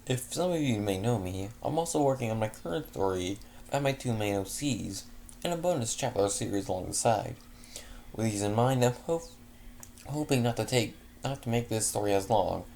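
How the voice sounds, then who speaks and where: A man reading a fanfiction story aloud in a halting way, restarting words, then chatting casually to listeners. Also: lowish voice, lively intonation, vocal fry, dark tone, a little hiss or hum. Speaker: fanfiction reader.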